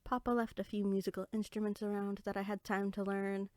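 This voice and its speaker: A small, friendly fairy girl, performed by a woman talks in an audio roleplay. She is bookish and eager, chatting expressively as if to one companion. Her voice sits at a medium pitch, with hardly any room sound around it. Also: level tone, brisk, darkish tone, no echo, close to an everyday voice. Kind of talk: roleplay audio drama, one side of a conversation.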